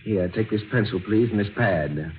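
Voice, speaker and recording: An actor playing a brisk, courtly, old-fashioned businessman who is formal and insistent, in an old-time radio drama. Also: lowish voice, lightly acted. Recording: dull, noisy vintage radio recording.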